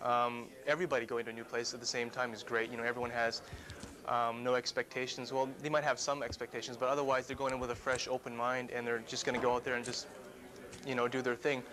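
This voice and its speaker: A young man, a prospective student, chatting casually in a relaxed, rambling way with plenty of fillers. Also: mid-range voice, fast talker. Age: young adult. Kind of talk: casual interview. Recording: crowd noise behind.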